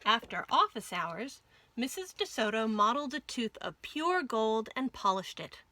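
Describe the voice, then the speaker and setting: Bright, animated woman storyteller. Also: a little high, moderate pace. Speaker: children's storytime host. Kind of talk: expressive scripted children's story narration.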